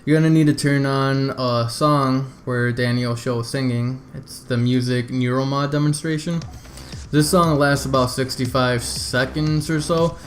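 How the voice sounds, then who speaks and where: A man's voice: medium pitch, fairly even intonation, normal speed, soft background music. A man giving a casual, step-by-step video game walkthrough, talking informally and directly to his viewers. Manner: informal, addresses viewers as "you guys".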